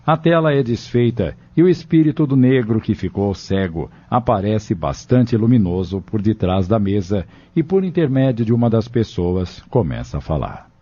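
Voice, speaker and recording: A man's voice: on the low side, very animated, normal speed, hardly any pauses, warm tone, dry recording. A man giving scripted, dramatic narration for an audio novel. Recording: dull, lo-fi recording with music.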